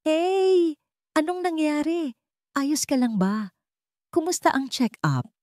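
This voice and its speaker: A woman's voice: high-pitched, very animated, slow, warm tone, dry recording, studio quality, voice pushed up, full character voice. A woman voicing an affectionate, caring young male fiancé in a romantic audio story, with a tender, eager manner. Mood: worried.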